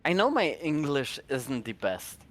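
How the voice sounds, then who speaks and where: A man's voice: fairly high pitch, big pitch swings, measured pace, fairly bright tone. Man, Polish native speaker, slightly tipsy, casual chat, stumbles over words. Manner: tipsy, laughing, searching for words.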